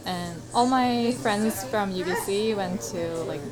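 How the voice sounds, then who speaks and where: A young woman from South Korea with a Korean accent, answering casual interview questions in English as her second language, pausing to find words. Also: mid-range voice, crowd noise, low-quality recording. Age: young adult. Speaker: interview guest, graphic designer. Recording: loud background music.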